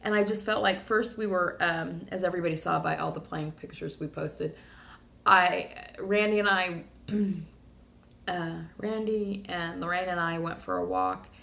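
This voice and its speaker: A woman sharing a rambling, emotional personal video diary, a little hoarse from talking. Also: fairly low pitch, expressive, unhurried, a bit bright. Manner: rambling, emotional, many fillers and restarts. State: slightly hoarse. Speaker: vlogger.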